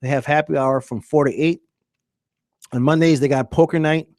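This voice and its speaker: A man's voice: a little high, fairly even intonation, moderate pace, a little mellow, dry recording. A man hosting a casual sports radio show, chatting loosely with fillers and reading ads live.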